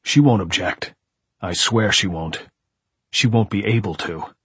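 A man voicing an enraged, desperate husband in an audiobook, intense, shouting and pleading. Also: on the low side, a little slow, husky, no echo, exaggerated voice. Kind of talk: audiobook character voice.

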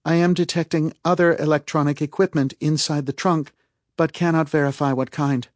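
Man voicing a precise, higher-pitched female AI. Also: fairly even intonation, no echo, clean studio sound, lightly acted. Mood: calm, precise. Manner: measured, precise, formal. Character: calm, precise female artificial intelligence reporting data. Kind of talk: audiobook character voice.

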